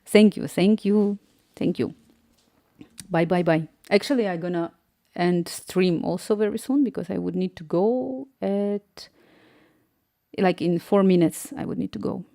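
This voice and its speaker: Low, mellow woman with a Slavic accent. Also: unhurried, creaky, no echo, clean studio sound. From Ukraine. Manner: chatty, laughs often, restarts words while reading viewer comments aloud. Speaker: live streamer. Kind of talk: casual live-stream chat.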